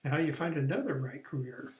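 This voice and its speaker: A man's voice: fairly high pitch, fast talker, a little mellow, roomy sound. A man explaining a career course in a plain, step-by-step way, with some restarts and fillers. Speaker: career coach. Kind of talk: recorded online training lesson.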